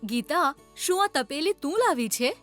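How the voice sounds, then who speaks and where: Lady doing a snooty sarcastic big sister in a kids cartoon, high voice, music behind. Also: lively intonation, unhurried, fairly bright tone. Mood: questioning. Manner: sarcastic, haughty. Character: proud, sceptical elder sister, poor girl. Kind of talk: children's cartoon story acting.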